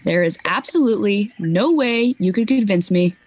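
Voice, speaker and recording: A woman's voice: mid-range voice, animated, normal speed, a little mellow, natural voice. A woman playing a dry, skeptical young companion in an amateur radio play. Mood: doubtful. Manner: wry and doubtful.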